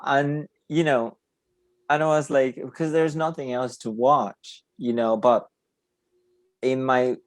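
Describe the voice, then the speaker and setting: A man's voice: mid-range voice, level tone, slow, no echo. A man with a Portuguese accent, a native Portuguese speaker, hosting a casual interview and often searching for words. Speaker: podcast host.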